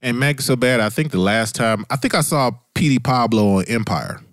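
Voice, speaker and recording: A man's voice: mid-range voice, moderate pace, no echo, studio quality. A man hosting a casual podcast discussion, blunt and profane, repeating phrases for emphasis. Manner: blunt, animated, emphatic repetition for effect. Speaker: podcast host.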